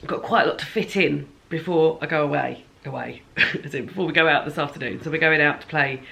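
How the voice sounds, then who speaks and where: Chatty woman with a gravelly voice. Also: sits low, a little fast, sharp tone. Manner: chatty, rambling, self-correcting. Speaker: book vlogger. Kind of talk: casual vlog diary. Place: home.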